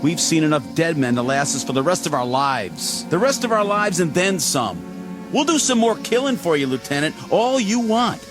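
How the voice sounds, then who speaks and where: A young private who is fed up with the war and says so. His fairly high voice swings widely as he talks, blunt and heated. A loud score plays underneath. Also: very animated, normal speed, music in the background. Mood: bitter. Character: bitter, blunt young male army private.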